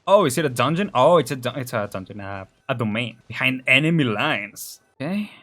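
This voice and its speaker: A man's voice: medium pitch, lively intonation, brisk, fairly bright tone. Casual, amused male game streamer. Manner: amused, chatty reactions. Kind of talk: casual live game commentary. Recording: music behind the voice.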